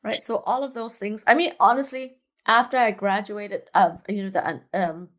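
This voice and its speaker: A middle-aged woman from Singapore speaks as a guest over a remote call, in an easy, conversational way. She laughs often and restarts her sentences as she talks. Her pitch sits at an ordinary middle height for a woman. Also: fairly even intonation, normal speed. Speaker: guest, dance artist. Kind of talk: casual interview chat.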